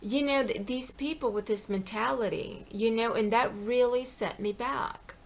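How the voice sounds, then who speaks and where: A woman's voice: fairly high pitch, steady tone, a little slow, creaky. A middle-aged woman in an impassioned personal monologue. She rambles and repeats herself, with many "you know"s, and pleads with her viewers. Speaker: vlogger.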